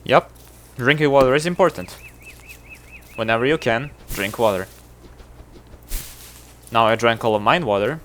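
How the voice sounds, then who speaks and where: A man's voice: mid-range voice, moderate pace, pauses often, a bit bright, a little hiss or hum. A man from Serbia with a Serbian accent, chatting casually to his viewers while streaming a game, rambling and self-deprecating. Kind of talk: casual livestream chatter with chat.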